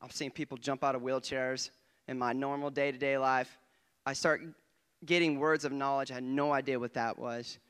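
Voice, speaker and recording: A young man, a guest preacher, giving an excitable testimony in church on a handheld mic, often laughing and swinging between quiet asides and loud bursts. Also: mid-range voice, normal speed, clean tone. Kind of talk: testimony sermon. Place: church stage before a congregation. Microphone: handheld microphone.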